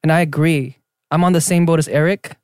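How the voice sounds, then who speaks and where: A woman in her thirties hosting a casual chat, lively and laughing often. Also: sits low, a little fast, clear, resonant tone, no room sound, clean studio sound. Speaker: podcast host.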